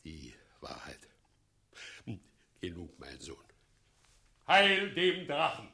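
A man's voice: on the low side, huge pitch swings, drawn-out words, gravelly, bright, forceful tone, breathy edge, heavily acted. A man acting a fawning, scheming and unhinged mayor in a radio play, with an erratic, theatrical manner. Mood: sly, wheedling.

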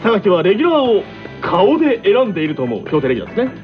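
A man hosting a radio talk show, reading out quiz questions in a lively, laughing manner. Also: sits high, rapid-fire. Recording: dull lo-fi radio recording with music behind.

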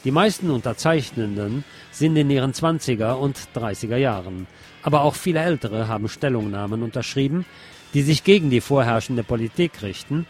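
A man with a medium-pitched voice presents as a radio host. He reads written news commentary aloud, with music faintly behind him. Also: expressive, fairly quick, a little mellow.

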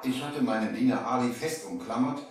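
A man's voice: mid-range voice, brisk, over music, close to an everyday voice. A man playing a young merchant who narrates his sea adventure theatrically in a 1970s children's radio play. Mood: narrating, suspenseful. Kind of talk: dramatic scripted storytelling in 1970s radio play. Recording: very echoey, slightly distant vinyl transfer.

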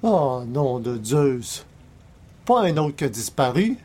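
An actor playing an easygoing, concerned friend in a radio comedy, with a Quebec French accent. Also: male voice, medium pitch, sing-song, slow, darkish tone, a little hiss or hum. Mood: puzzled, dismayed. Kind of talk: old-time radio comedy acting.

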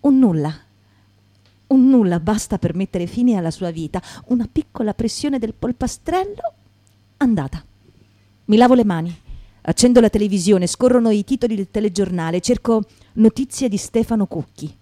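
A woman's voice: mid-range voice, lively intonation, normal speed, dark tone, dry recording. A woman performer giving a dramatic reading in a piece of radio theatre. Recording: guitar music behind the voice.

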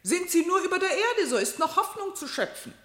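A woman's voice: very high voice, big pitch swings, fairly quick, a bit bright, heavily acted. An actress playing an earnest, persuasive female counsellor at an animal court, declaiming and coaxing in a radio drama. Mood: hopeful, coaxing. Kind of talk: classic German radio drama verse acting.

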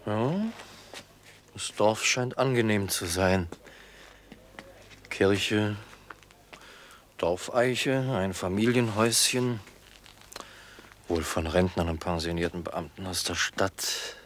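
A man's voice: deep voice, slow pace, long pauses, a little hiss or hum. An actor playing a breezy, self-satisfied male travelling salesman in a radio drama, speaking in casual, clipped phrases. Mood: idle musing. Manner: casual, clipped musing to himself. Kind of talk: German radio drama acting.

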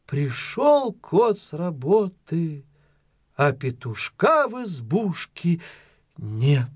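A man narrating a folk tale as storyteller, in an expressive, dramatized way. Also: a little high, extremely slow, husky, dry recording, clean studio sound.

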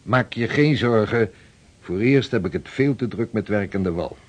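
An actor playing a devout, driven sixteenth-century Dutch ship's captain in a radio play. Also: male voice, fairly low pitch, normal speed, natural voice. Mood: earnest, evasive. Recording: noisy old radio play recording.

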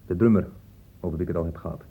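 An actor playing a dry, worldly police inspector in a Dutch radio play, measured and wry. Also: male voice, low-pitched, breathy edge, heavily acted. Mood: wry, assured. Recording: muffled, dull old radio recording.

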